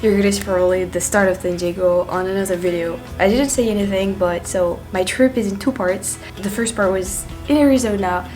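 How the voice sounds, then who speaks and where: A young French woman vlogging her travels in casual chat, slightly hoarse from a cold and full of fillers and restarts. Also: mid-range voice, brisk, few pauses, darkish tone, soft background music. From France. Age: young adult. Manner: chatty, with many fillers and restarts.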